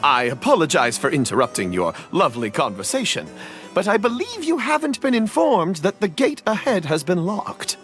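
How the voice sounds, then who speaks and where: An actor voicing a polite young knight trapped as a statue, formal and courteous, pleading for help. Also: male voice, sits high, sing-song, moderate pace, fairly bright tone. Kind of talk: game voice acting. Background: music.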